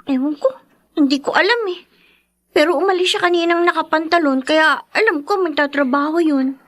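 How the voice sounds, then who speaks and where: Very high-voiced weary young daughter. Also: female voice, normal speed, fairly bright tone, no room sound. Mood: casual, guarded. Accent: Filipino. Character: weary, dutiful young daughter of a drunkard father. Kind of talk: radio drama acting.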